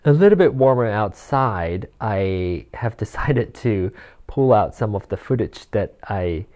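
Rambling forty-year-old man, lowish voice. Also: animated, unhurried, darkish tone, highs cut off. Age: 40s. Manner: rambling, chuckles often, many fillers and restarts. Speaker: podcast host. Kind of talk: casual solo podcast chat. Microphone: handheld or desk mic with rustle.

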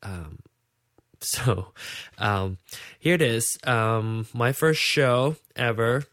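A man's voice: mid-range voice, expressive, very slow, a bit bright, no echo, clean studio sound. A sleepy man with a Malaysian accent, a native Hokkien speaker, hosting a casual unscripted podcast in a hesitant, rambling way. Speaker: podcast host.

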